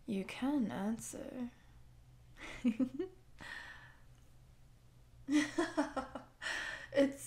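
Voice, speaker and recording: A woman's voice: fairly high pitch, a little mellow. A woman voicing an obsessive, sadistic giant woman doting on a tiny captive, teasing and possessive in an intimate ASMR audio roleplay, often dropping toward a whisper. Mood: smothering affection.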